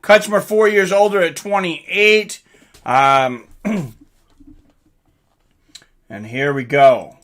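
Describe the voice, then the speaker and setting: A man hosts a casual live fight companion stream in a forceful, bright voice that sits a little high. He takes his time, speaking slowly and informally. He addresses his viewers directly and often clears his throat. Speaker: host of a live fight watch-along stream. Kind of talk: casual live commentary, reading out and answering chat.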